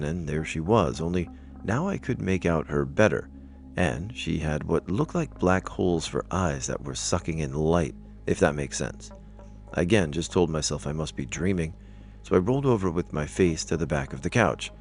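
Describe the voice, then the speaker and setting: A man with an extremely deep voice narrates scary stories. His delivery has a gravelly, creaky edge. Soft music plays behind the scripted storytelling. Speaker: narrator reading online stories aloud.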